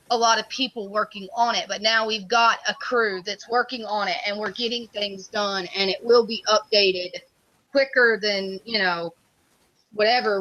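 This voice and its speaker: A woman with a loud voice chatting casually on a computer microphone while streaming art work. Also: medium pitch, highs cut off. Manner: loud voice, says so herself. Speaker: community project lead. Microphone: computer microphone on a voice chat.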